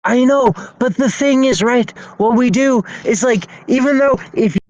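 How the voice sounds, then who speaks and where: A man's voice: extremely high pitch, a little slow, darkish tone, dry recording, muffled recording. A man co-hosting a casual podcast chat, talking in a laid-back, rambling way and laughing often. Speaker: podcast co-host.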